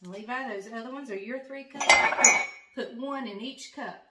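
A woman's voice: fairly high pitch, moderate pace, heavy background noise. A woman in her fifties, a grandmother, rambles cheerfully to the camera in her home kitchen. She talks in a loose, chatty way, full of fillers and restarts, recorded on a camera close by. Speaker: farm vlogger, grandmother. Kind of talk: casual vlog chat. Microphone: camera close up, then further off at the counter.

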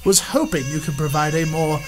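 A pompous, boastful explorer, played by a man, holds court in a vintage-style radio adventure serial. His voice sits slightly high and carries a theatrical swagger, with soft music running beneath. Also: a little fast, natural voice. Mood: condescending. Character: pompous boastful male explorer, middle-aged. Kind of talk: 1930s radio serial acting.

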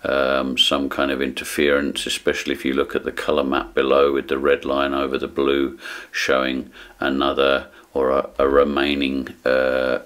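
A man in his fifties talks through a technical demonstration in a very deep, low voice. He explains at a measured, steady pace and fills his pauses with frequent ums. He is recorded on a close camera at his desk in a small room lined with acoustic foam. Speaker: product demonstrator.

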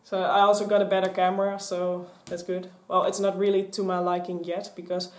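A young woman in her twenties with a Dutch accent, chatting casually to camera in a rambling, animated way. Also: lowish voice, brisk. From Netherlands. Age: 20s. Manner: chatty, rambling, gesturing a lot. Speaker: vlogger. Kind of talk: casual vlog chat. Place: small craft room with shelves and mannequins, indoors. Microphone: camera on a stand in front. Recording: echoey room.

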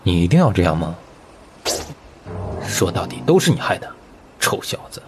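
A young man playing a gruff, irritable teenage older brother with a brusque, grumbling delivery in an audio comic drama. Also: deep voice, big pitch swings, moderate pace, music in the background. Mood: exasperated.

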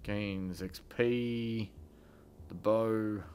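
A man's voice: lowish voice, steady tone, drawn-out words, darkish tone, a little hiss or hum. A man giving casual let's play commentary as a gaming host, muttering through his decisions as he plays. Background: game music.

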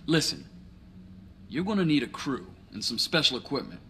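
A man's voice: medium pitch, big pitch swings, moderate pace, sharp tone, a little hiss or hum. A man playing an irascible, shrewd crime boss in a video game cutscene, articulate and commanding. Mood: calm, scheming.

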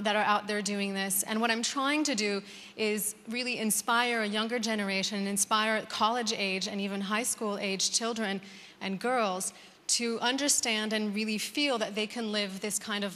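A woman's voice: mid-range voice, fairly even intonation, moderate pace. A woman in her thirties with an American accent giving a conversational conference talk, with frequent "um"s and restarts. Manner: warm conversational, many "um"s and restarts. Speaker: conference speaker. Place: large conference hall. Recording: reverberant hall.

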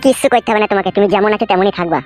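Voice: mid-range voice, racing, soft background music, close to an everyday voice. A performer voicing a crude, wheedling, lovestruck younger brother-in-law in a comic cartoon. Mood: coaxing.

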